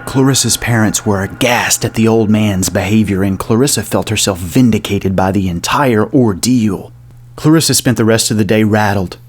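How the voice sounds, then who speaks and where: Low-voiced man narrating horror. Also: husky. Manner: measured, literary, ominous storytelling. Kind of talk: dramatic horror story narration. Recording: music behind the voice. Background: soft music.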